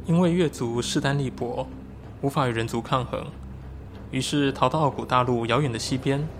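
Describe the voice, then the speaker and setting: Guy voicing an earnest young shapeshifter guy in a radio drama, eager and expressive, medium pitch. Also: normal speed, noisy background, lightly acted. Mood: solemn storytelling. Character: earnest, excitable young shapeshifting moon-tribe man. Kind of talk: Chinese audio drama acting.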